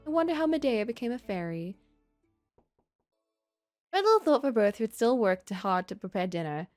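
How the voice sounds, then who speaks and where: Lively woman reading a story game aloud. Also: a little high, moderate pace, no echo, faint music behind. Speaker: game streamer. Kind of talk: reading a visual novel aloud with casual asides.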